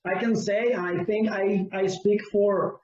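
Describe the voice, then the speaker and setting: A man with a Cuban Spanish accent and a high voice, speaking slowly and earnestly as he searches for words. His sound is distant and roomy. It has the muffled, lo-fi quality of a video call. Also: steady tone, darkish tone. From Cuba. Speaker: pastor and guest. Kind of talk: interview answer.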